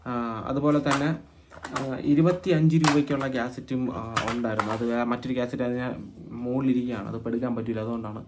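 A man from Kerala hosting an informal explainer vlog, speaking haltingly and often repeating phrases as he thinks aloud. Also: medium pitch, steady tone, noisy background. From Kerala, India. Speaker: video host and cassette collector.

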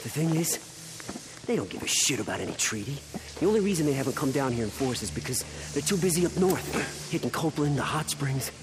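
Man playing an animated, edgy game survivor. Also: medium pitch, brisk, close to an everyday voice. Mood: bitter, complaining. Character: edgy, argumentative male survivor, distrustful and defensive. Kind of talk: video game cutscene acting. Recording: clear noise and ambience behind.

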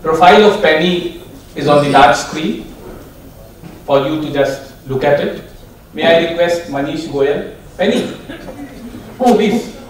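Indian English man, formal emcee announcing, slightly high, boomy distant hall sound. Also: unhurried, pauses often, a little hiss or hum. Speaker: master of ceremonies. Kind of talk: formal stage introduction. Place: large hotel conference hall. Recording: echoey hall, PA from far off.

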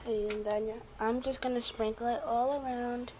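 A woman's voice: a little high, fairly even intonation, a little slow. A teenage girl giving a casual, hesitant cooking demo in a home kitchen, filmed on a phone. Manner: chatty, hesitant with fillers. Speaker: guest cook. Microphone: handheld phone camera. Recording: noisy phone recording.

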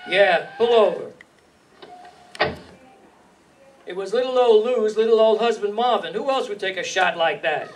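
An actor playing a slangy, sardonic hard-boiled hero who narrates a 1950s radio noir, recorded live in a hall. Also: male voice, sits high, brisk, distant mic, lightly acted. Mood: brisk, sardonic. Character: wisecracking, tough hard-boiled gumshoe and narrator. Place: stage in an indoor meeting hall, live reading.

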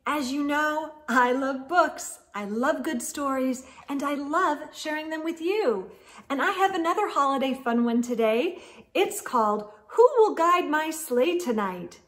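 A middle-aged woman hosting a read-aloud storytime for young children, with an animated, exaggerated delivery and hushed asides. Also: high-pitched, a little slow. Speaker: children's storytime host and reader. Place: indoor room lined with bookshelves.

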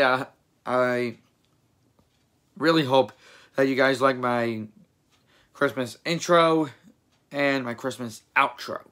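A hoarse young man hosting a reaction video, rambling and correcting himself as he talks in a small room. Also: medium pitch, pushed and bright. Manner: rambling, self-correcting, chatty. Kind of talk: casual reaction commentary.

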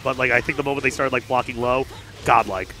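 A young man commentating a live fighting game tournament, joking and getting loud whenever the play heats up. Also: mid-range voice, fast, faint music behind. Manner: excitable, jokey, gets loud when hyped. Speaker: esports commentator. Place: large hangar hall at a gaming event.

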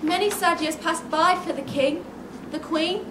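An eager pantomime sergeant played by a woman announces playfully in a high voice. It is recorded in an echoey theatre with clear background noise. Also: measured pace. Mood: mock solemn. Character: eager toy-soldier sergeant narrating to children. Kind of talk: stage pantomime narration. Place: theatre stage, filmed from the audience.

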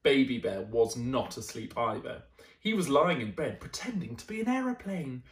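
Expressive young man reading stories, very animated pitch. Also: distant mic. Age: young adult. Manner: expressive, deliberate. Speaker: storyteller reading a bedtime picture book. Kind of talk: animated children's story reading. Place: small indoor room, seated. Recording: roomy indoor echo.

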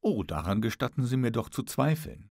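A man's voice: mid-range voice, big pitch swings, darkish tone, no echo, clean studio sound. A man reading the part of a witty, spirited young lady, formal and teasing, in an audiobook. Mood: teasing, sceptical. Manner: formal, teasing, quick to retort.

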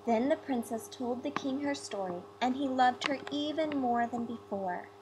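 A woman narrating a fairy tale as a read-aloud storyteller, in measured storybook phrasing. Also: high voice, poor audio. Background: music.

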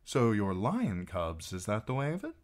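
A roguish travelling minstrel in a storybook, voiced by a man. He is genial and wry, with a very animated pitch that keeps sliding about as he teases and coaxes. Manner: teasing, wheedling, drawn-out. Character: wry, genial roguish wandering male singer.

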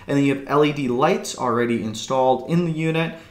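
A man's voice: medium pitch, a little fast, echoey room. A man in his thirties, a real estate broker, chatting enthusiastically through a property walkthrough at his desk webcam. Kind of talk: upbeat property walkthrough video. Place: small room indoors.

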